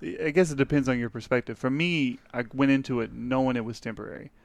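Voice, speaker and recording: A man's voice: mid-range voice, steady tone, fast, creaky, no echo. A man chatting casually as a guest, easygoing and agreeable, often laughing along. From United States. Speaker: guest, strength coach. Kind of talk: casual chat.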